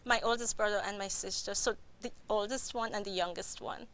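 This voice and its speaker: A middle-aged woman with a Cameroonian accent answers questions as a nurse guest in an interview. Her voice is bright and clear. She talks softly, pauses on fillers and laughs often, over a faint background hum. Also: mid-range voice, fairly even intonation.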